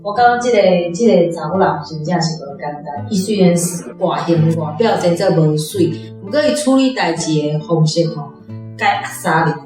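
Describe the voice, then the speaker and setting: A woman from Taiwan, president of a reading club, sharing her impressions in a measured, halting way. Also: fairly low pitch, music in the background. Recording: distant, very echoey room.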